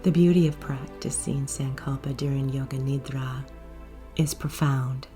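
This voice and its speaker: A woman guiding a meditation in a soft, gentle, unhurried way, with breathy phrase endings. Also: rumbling low. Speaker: yoga nidra guide. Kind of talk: guided meditation narration. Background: soft music.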